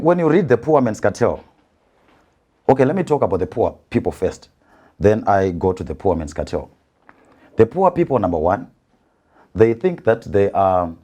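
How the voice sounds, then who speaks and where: A man from rural Zimbabwe with a Zimbabwean accent, an author explaining his views in an interview with deliberate, emphatic repetition. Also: on the low side, big pitch swings, mellow.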